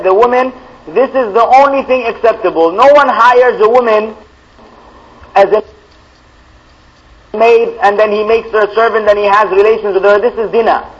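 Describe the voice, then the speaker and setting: High-voiced man, emphatic preacher. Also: dull audio. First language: Arabic. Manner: emphatic, repeats key phrases for stress; reads questions aloud then answers firmly. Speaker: Islamic preacher answering viewer questions. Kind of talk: live question-and-answer session.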